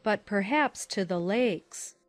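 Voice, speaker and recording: A woman voicing a sensible, concerned middle-aged aunt in a dramatized classic novel, gently admonishing in measured, formal phrases. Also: medium pitch, expressive, soft background music. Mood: kindly.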